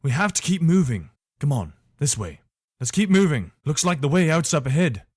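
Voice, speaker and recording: A man's voice: fairly high pitch, very animated, normal speed, darkish tone, slightly rough, no echo, clean studio sound. A man playing a dutiful, weary but decent imperial soldier in a fantasy video game, speaking earnestly in short, commanding phrases. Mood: urgent, commanding. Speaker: voice actor for a game mod.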